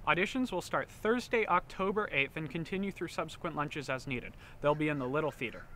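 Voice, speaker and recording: A man's voice: fairly high pitch, lively intonation, a little fast, sharp tone, slight background noise. A teenage boy reads a scripted school announcement outdoors in an eager, earnest manner. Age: teens. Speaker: student announcer. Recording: faint outdoor ambience.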